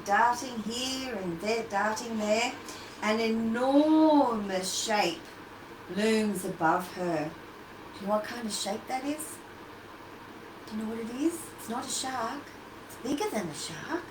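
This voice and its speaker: A woman reads a children's picture book at a slow pace in a fairly high voice. She speaks as a teacher to little listeners, adding questions and asides. The recording is roomy, with clear noise. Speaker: children's storytime host and teacher. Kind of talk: animated picture-book reading for young children. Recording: roomy, distant, noisy livestream audio.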